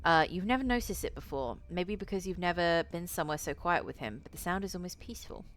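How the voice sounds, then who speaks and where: A woman streamer with a low voice reading a visual novel aloud, dropping in casual, sweary asides. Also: fast talker. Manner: sweary, excitable reactions in asides.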